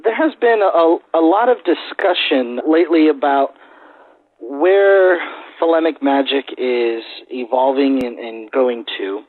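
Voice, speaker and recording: A man hosting a podcast interview in a casual, measured and slightly hesitant way. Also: medium pitch, no echo, phone-quality sound.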